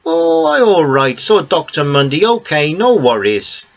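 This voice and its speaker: A man voicing an old cafe owner, friendly and excitable, speaking broken English with lots of "ah" and "eh". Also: on the high side, a little slow, gravelly, pitched above the performer's own voice, full character voice. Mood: eager. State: a bit out of breath. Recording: dull lo-fi recording.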